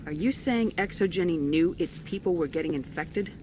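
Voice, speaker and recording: A woman's voice: fairly low pitch, moderate pace, noisy background, lightly acted. A woman playing a resolute military commander in a video game, with a direct, businesslike manner. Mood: brisk, probing.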